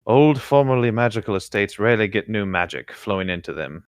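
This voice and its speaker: Expressive, lowish-voiced man reading aloud. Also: a little fast, no room sound, studio quality. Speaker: co-host reading fan fiction aloud. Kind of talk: narrative read-aloud for a comedy podcast.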